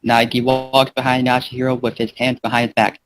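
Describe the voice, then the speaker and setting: A man's voice: mid-range voice, completely flat delivery, brisk, fairly bright tone, a little hiss or hum, rough recording. A man reading a fan fiction aloud as an amateur narrator, now and then stumbling over words. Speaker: fan fiction reader and gaming channel host.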